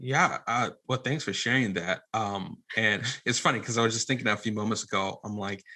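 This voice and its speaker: A man's voice: lowish voice, moderate pace, bright, forceful tone. A man speaking as a podcast guest, candid and earnest, often qualifying and circling back on his own points. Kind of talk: candid conversational interview.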